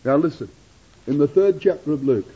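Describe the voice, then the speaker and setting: A man preaching a sermon to his congregation, addressing them as brethren and sisters and swinging his delivery from loud declamation to hushed asides. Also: lively intonation, fairly quick, husky. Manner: dramatic rises and drops in pitch and loudness. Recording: dull lo-fi sermon recording.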